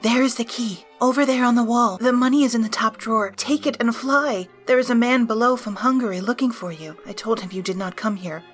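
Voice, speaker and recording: Woman acting high-voiced, urgently pleading young woman. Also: brisk, hardly any pauses, soft background music, close to an everyday voice. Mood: urgent, hushed. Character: frightened, devoted young woman urging her beloved to flee. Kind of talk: audio drama acting.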